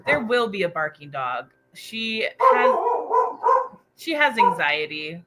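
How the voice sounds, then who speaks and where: A woman hosting a casual book livestream, excitable and chatty as she reacts to viewer comments. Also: mid-range voice, slow pace, heavy background noise. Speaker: book discussion livestream host.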